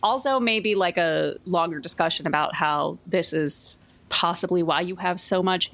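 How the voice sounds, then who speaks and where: A woman's voice: lowish voice, moderate pace, a bit bright. A woman playing a tabletop roleplaying game, chatting casually and thinking things through with wry humor. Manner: wry, reasoning aloud. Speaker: player. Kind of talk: casual tabletop actual-play chat.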